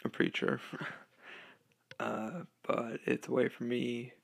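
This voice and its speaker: A sixteen-year-old boy hosting his own podcast, talking casually and hesitantly with lots of ums and uhs, through a low-quality microphone. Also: completely flat delivery, takes it slowly, creaky. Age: teens. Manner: hesitant, many ums and uhs, occasional laughs. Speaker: podcast host. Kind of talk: casual solo podcast talk. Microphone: low-quality home microphone.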